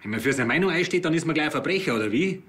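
An actor with a Bavarian accent playing a defiant, gruff shooting club man questioned by police, blunt and defensive. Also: sits low, fast, a bit bright, slight background noise. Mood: indignant. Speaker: actor playing a murder suspect. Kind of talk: TV crime comedy acting.

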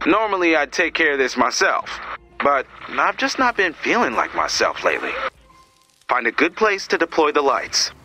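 An actor playing an earnest, dutiful soldier in a video game, speaking sincerely and plainly. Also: male voice, a little high, very animated, moderate pace, sharp tone, faint music behind. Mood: businesslike. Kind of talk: video game mission dialogue.

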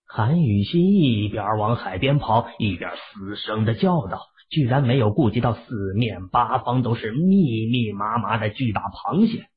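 A man with a husky voice narrating a fantasy novel as an audiobook in an emotive storytelling manner. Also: lowish voice, expressive, unhurried, hardly any pauses. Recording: muffled lo-fi audio.

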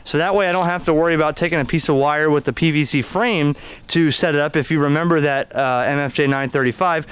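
Man, slightly high pitch, smooth clear voice, hobbyist gear review, unscripted, halting, explanatory, conversational. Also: a bit bright, no echo. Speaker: ham radio hobbyist reviewing an antenna. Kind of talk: unscripted product demonstration and review.

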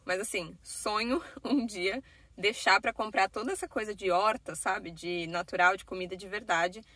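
A woman's voice: medium pitch, moderate pace, pushed and bright, dry recording. A young woman with a Brazilian Portuguese accent, chatting casually to her phone camera from a parked car in a vlog, full of little fillers. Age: young adult. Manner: chatty, talking with her hands, many fillers like "né" and "assim". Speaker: vlogger. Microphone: phone camera at arm's length.

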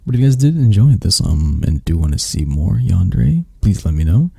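A man speaks casually to his ASMR listeners in a low, very mellow voice. There is some gravelly fry in it, and it stays informal. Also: very animated, normal speed, no echo, clean studio sound. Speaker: ASMR voice performer.

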